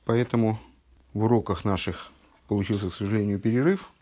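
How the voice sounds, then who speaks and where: A man teaching a text study lesson, reading source passages slowly and then explaining them, just recovered from an illness. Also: on the low side, fairly even intonation, warm tone. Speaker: religious teacher.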